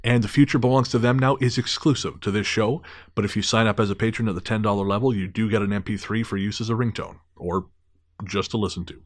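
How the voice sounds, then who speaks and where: A man's voice: lowish voice, steady tone, fast, dry recording. A man co-hosting a podcast in a casual, chatty style, with a self-deprecating, joking way of talking. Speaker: podcast co-host.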